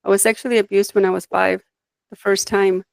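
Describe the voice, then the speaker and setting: A woman's voice: mid-range voice, level tone, a little fast, creaky, no echo. Reflective woman from Mexico, dry sound. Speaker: guest, life design coach. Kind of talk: conversational interview, personal storytelling.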